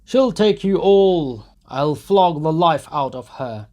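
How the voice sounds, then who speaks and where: A drunken young peasant roars and brags, his voice pushed high and hard, as a man reads him out. He sounds boastful and loud, a storybook brute bellowing at his mates. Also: animated, slow pace. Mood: boastful shouting.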